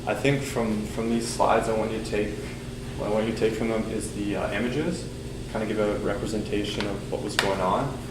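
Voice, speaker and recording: Young male student presenter, low monotone, loose filler-heavy delivery, echoey lecture room with air-conditioning hum. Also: brisk. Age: young adult. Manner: loose, filler-heavy talk with many ums and likes. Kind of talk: casual class presentation.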